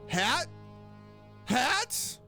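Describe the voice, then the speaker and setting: A woman's voice: high voice, very animated, drawn-out words, over music. A woman streaming a game with casual commentary, reacting with joking scepticism. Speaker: streamer playing a story game.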